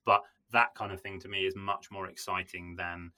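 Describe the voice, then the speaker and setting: A man's voice: low-pitched, little pitch movement, moderate pace, pushed and bright. A man speaking as a guest in a podcast discussion, candid and skeptical, often using fillers such as "you know" and "kind of".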